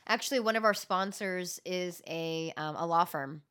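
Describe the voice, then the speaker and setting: A woman's voice: on the low side, normal speed, a bit bright, smooth. A woman co-hosting a podcast in a casual chat, talking loosely with many ums and fillers; her home language is Gujarati. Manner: chatty, lots of ums and filler. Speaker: podcast co-host.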